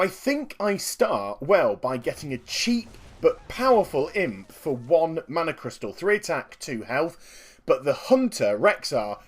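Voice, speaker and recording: A man with a slightly croaky voice, giving upbeat, chatty commentary on his own card game match and explaining each move. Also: fairly high pitch. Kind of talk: gameplay commentary.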